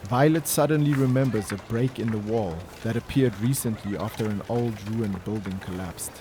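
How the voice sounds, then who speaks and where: A man giving solemn, measured, scripted narration for a dramatic audio story. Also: fairly low pitch, noisy background. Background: music and ambience.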